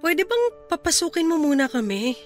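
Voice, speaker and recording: A woman's voice: sits high, sing-song, moderate pace, faint music behind. A woman playing a distressed young mother standing up to an abusive partner in an emotional Tagalog radio drama. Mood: hurt, reproachful. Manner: emotional, confrontational.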